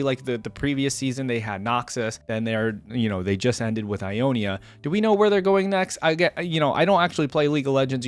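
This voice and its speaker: A man's voice: medium pitch, no echo. A man hosting a reaction video, giving casual, unscripted commentary with enthusiastic, emphatic repetition.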